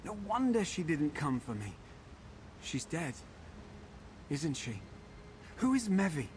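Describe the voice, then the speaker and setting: An actor playing a fussy, pampered defector in a video game, wry and chatty with a faintly aggrieved manner. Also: male voice, on the high side, sing-song, takes it slowly, darkish tone, a little hiss or hum. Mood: subdued, grateful. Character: fussy pampered defector from a wizard house, put-upon. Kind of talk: video game character dialogue.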